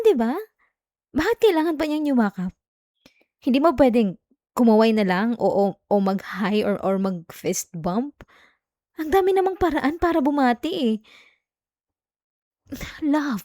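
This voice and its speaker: Casting: woman as young jealous girlfriend, higher mellow voice, coaxing and whiny, intimate ASMR roleplay, close dry sound. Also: no echo. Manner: coaxing, whiny, with sighs and hesitations. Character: clingy, jealous young girlfriend, pleading and pouting. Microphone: close, dry microphone.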